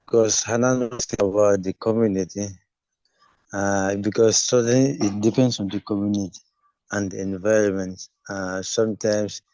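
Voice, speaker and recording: A man who stutters, speaking haltingly on a video call during a webinar. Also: fairly low pitch, fairly even intonation, dark tone, no echo. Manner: stutters, halting with many pauses. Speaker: webinar participant. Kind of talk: spontaneous comment. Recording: dry muffled video call audio.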